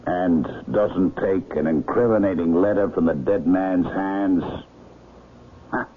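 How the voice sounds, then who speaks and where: An actor playing a wry, loyal doctor in a 1970s radio drama, speaking conversationally. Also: male voice, low-pitched, slow. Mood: puzzled. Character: wry, loyal male doctor shielding an accused friend. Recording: muffled vintage radio recording with hiss.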